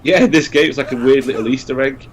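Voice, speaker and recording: Guy doing chatty game commentary, average pitch, bit of background noise. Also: fast talker. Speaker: let's play co-commentator. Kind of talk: casual gaming commentary chat.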